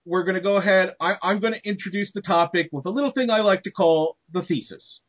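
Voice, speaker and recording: A man with a husky voice hosting a discussion show, talking emphatically and repeating phrases to make his point. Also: high voice, quick pace. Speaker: host of a debate show. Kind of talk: discussion hosting and opinion talk. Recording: dull lo-fi sound.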